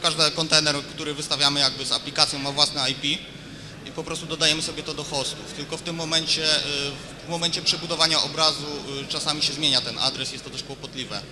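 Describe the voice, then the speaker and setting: A man's voice: on the high side, steady tone, quick pace, background noise. A man in the audience asks a question at a conference talk, hesitating with fillers. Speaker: audience member asking a question. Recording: distant voice in an echoey hall with audience.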